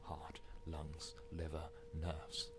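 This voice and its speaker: A man voicing an obsessive inner voice in a game, chanting repetitively in a hushed, breathy whisper. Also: rumbling low, completely flat delivery, extremely slow, soft background music, heavily acted.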